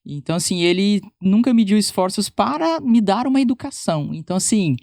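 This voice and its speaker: A woman's voice: medium pitch, animated, brisk, dark tone, no echo. Chatty Paraná-accented woman, dry sound. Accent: Paraná Brazilian Portuguese. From Brazil, born in Minas Gerais, raised in Paraná. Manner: chatty and informal, many fillers and self-corrections. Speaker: physiotherapist and podcast guest. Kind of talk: casual interview conversation.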